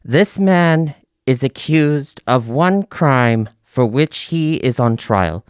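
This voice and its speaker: An actor playing a stern prosecutor in an audio drama, measured and declamatory as he accuses. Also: mid-range voice, expressive, smooth, no echo, clean studio sound. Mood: stern, accusing. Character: accusing, rhetorical male prosecutor addressing a jury.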